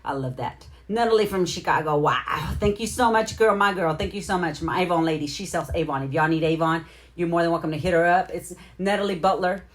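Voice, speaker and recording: A woman's voice: lowish voice, fairly quick. A middle-aged woman hosting a casual livestream, chatting informally and slangily as she addresses her viewers directly. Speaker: livestream host. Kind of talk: casual livestream chat with shout-outs to viewers.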